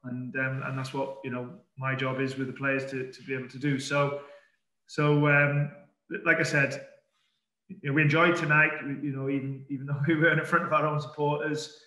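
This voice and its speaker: A middle-aged man, a football manager, answering press questions calmly over a video call, with many fillers and restarts. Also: mid-range voice, moderate pace. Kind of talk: post-match press conference. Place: indoor press room in front of a sponsor board. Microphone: webcam on a video call. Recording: echoey room.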